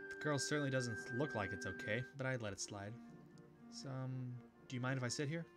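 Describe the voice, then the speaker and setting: A man's voice: mid-range voice, fairly quick, over loud music. Casual man reading a game aloud. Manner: casual, with fillers and repeated restarts. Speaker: gaming YouTuber. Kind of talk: reading a visual novel aloud with commentary.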